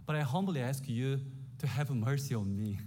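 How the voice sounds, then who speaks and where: A man in his forties with a Korean accent, preaching a sermon in an earnest, humble, measured way. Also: mid-range voice, dark tone, slight background noise. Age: 40s. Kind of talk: sermon with scripture reading.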